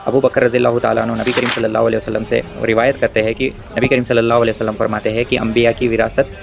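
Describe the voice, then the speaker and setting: A man's voice: medium pitch, flat delivery, racing, creaky, music in the background. A man giving an argumentative religious rebuttal, addressing his opponent directly in a taunting, confrontational way.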